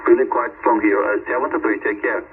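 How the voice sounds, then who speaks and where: Ham operator, man, quick routine delivery, thin phone-like band, loud static. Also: mid-range voice, fairly even intonation, breathy edge. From Turkey. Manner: brisk, routine callsign phrasing. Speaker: amateur radio operator. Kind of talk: ham radio contact exchange. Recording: hissy shortwave radio signal.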